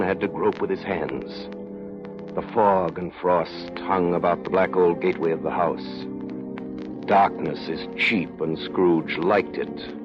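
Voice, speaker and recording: A man's voice: deep voice, muffled recording. A man narrating a story as a dramatic scripted 1930s radio broadcast. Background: loud music.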